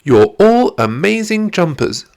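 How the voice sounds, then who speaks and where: A man voicing an eager, high-pitched little girl. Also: big pitch swings, unhurried, vocal fry, exaggerated voice. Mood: cheering. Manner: bright, encouraging, exclamatory. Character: cheerful, eager ten-year-old girl animal trainer. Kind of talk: children's storybook character voice.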